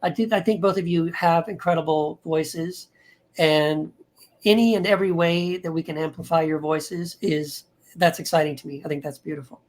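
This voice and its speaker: A man who is an author, guesting on a podcast and talking in a relaxed, rambling, easygoing way. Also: a little high, normal speed. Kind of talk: casual interview chat.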